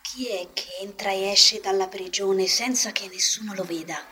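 A woman playing a cynical, scheming inmate of about forty, speaking in a low-key, conspiratorial and coarse way. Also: normal speed, sharp tone, slightly rough. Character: cynical, scheming forty-year-old female prison inmate. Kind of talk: Italian amateur fan dubbing of prison drama. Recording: homemade lo-fi desk microphone, music behind.

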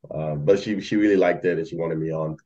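A man's voice: extremely low pitch, fairly even intonation, fairly quick, dark tone, muffled recording. A young man who grew up in Malaysia, chatting casually as a podcast guest, rambling with many fillers and laughs. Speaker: podcast guest, algo trader. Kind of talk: casual chat.

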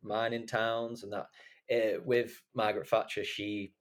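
A young man from England hosts a relaxed podcast conversation, his voice sitting on the low side. He rambles as he talks, filling gaps with ums and likes and restarting his sentences. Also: a little slow. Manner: rambling, many fillers and restarts. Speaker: podcast host. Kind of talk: casual chat.

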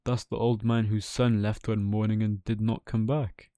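Man as plain-spoken, mellow dockside stranger. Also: lowish voice, little pitch movement, normal speed, smooth, no echo, clean studio sound, close to an everyday voice. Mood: musing, sympathetic. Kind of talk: children's storybook character voice.

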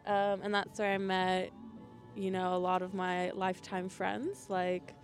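A woman's voice: medium pitch, flat delivery, measured pace, soft background music. A young woman telling stories casually as a podcast guest, chatty and self-deprecating. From Europe, mainly Switzerland, Belgium and Scandinavia. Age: young adult. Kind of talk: casual conversational storytelling.

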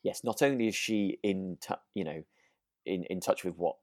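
A man co-hosting a podcast in a relaxed conversational style, hesitant and often rephrasing as he goes. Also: sits low, normal speed, dry recording, studio quality. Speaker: podcast co-host. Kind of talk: relaxed conversational discussion of a radio drama.

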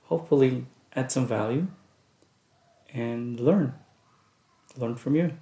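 A man's voice: medium pitch, slow pace, mellow. A middle-aged man co-hosting a casual family podcast, talking in an earnest, reflective way. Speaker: podcast co-host and father. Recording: echoey room.

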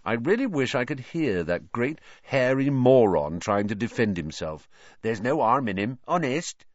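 A spiteful schoolboy bully, played by a man reading a storybook. He gloats and boasts with an arrogant sneer and a very lively pitch. The sound is dry and slightly dull. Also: moderate pace, dry recording, natural voice. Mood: gloating.

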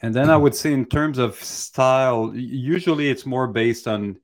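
A man giving unscripted advice as a mentor in a Q&A, speaking in a hesitant, halting way as he thinks aloud. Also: medium pitch.

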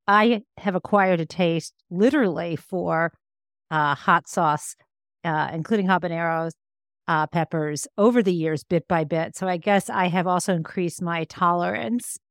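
A woman in her fifties with an American accent and a fairly low voice. She talks in an articulate, reflective way, telling stories about herself in a conversational interview. The sound is very dry, with no room echo. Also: unhurried. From Michigan, United States. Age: 50s. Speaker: interview guest, law professor and author. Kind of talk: conversational personal storytelling in an interview.

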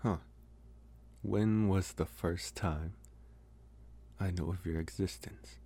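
Man acting a husky, deep young college vampire. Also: steady tone, full character voice. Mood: reflective, hesitant. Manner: hesitant, halting, gentle, with pauses. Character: lonely, soft-spoken young male college vampire, politely devoted. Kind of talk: ASMR roleplay, intimate one-sided dialogue.

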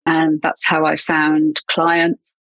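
A woman's voice: low-pitched, completely flat delivery, slow pace, a bit bright, no room sound. A middle-aged Englishwoman chatting as a podcast guest, laughing often and trailing off with fillers and restarts. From England. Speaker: podcast guest, marketing consultant. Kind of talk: casual interview chat.

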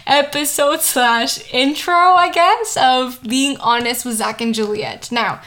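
A woman's voice: sits high, fairly bright tone. A woman in her twenties co-hosting a casual home podcast, giggling, swearing and rambling as she chats into a desk microphone. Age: 20s. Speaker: podcast co-host. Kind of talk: casual chat. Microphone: single desk microphone.

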